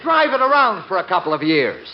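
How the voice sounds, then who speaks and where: A man's voice: high-pitched, big pitch swings, a little fast. An actor playing a desperately eager, fawning car salesman in 1940s radio comedy style, effusive and wheedling. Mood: pleading. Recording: noisy, muffled 1940s radio recording.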